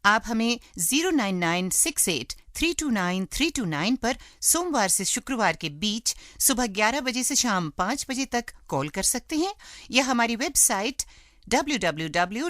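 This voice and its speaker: A woman with an Indian accent hosts a radio programme from a script. Her pitch moves in a lively, animated way, and the sound is dry and close. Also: no room sound. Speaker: radio show host.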